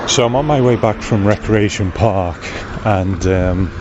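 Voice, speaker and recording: A man's voice: lowish voice, measured pace, highs cut off. A man hosting a podcast, talking casually as he records on location outdoors. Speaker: podcast host. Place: outdoors on a town street. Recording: noisy outdoor recording with ambient street sound.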